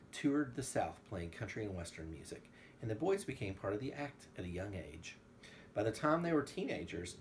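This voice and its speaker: A man reading aloud to his young daughter like an enthusiastic, playful father, slipping between storytelling and casual asides. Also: low-pitched. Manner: enthusiastic, playful, bursts into song. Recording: noisy home recording with room ambience.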